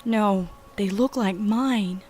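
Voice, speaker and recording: A man's voice: sits high, slow pace, a little mellow, voice pushed up. Jenny, a man putting on a frantic young woman. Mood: panicked. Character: frightened young woman, panicked and tearful.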